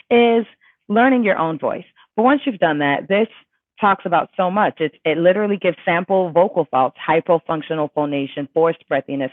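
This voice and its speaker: Lady voice coach answering chat questions live, chatty and emphatic, thin phone-ish sound with no room echo. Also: low voice. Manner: chatty and emphatic, many fillers and self-corrections. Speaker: vocal coach. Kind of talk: casual livestream Q&A, reading viewer questions aloud. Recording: tinny dry phone-like sound.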